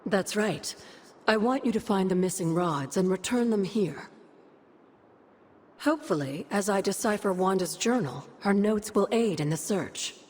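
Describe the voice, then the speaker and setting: A woman playing the ghost of an old witch mentor in a video game, doting, cryptic and teasing, in an unhurried way. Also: medium pitch, animated, darkish tone. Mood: instructive. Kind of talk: video game cutscene acting. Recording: music behind the voice.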